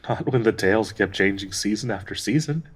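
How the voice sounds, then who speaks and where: A man playing a gentle, grieving dryad in an intimate audio roleplay, speaking in a tender, halting, wistful way with a husky, breathy voice. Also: on the low side. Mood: troubled, sorrowful. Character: gentle, grieving male dryad devoted to a sick companion.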